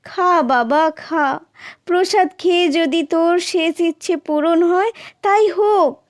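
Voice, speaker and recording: Miserly old aunt, voiced by a woman: pious, easily alarmed, extremely high voice, storybook style. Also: takes it slowly, no echo, clean studio sound. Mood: grudging.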